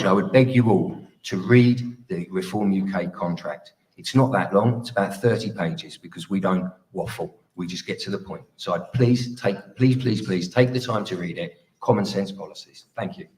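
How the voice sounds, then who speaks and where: Deep-voiced male political candidate. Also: poor audio. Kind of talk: hustings closing speech.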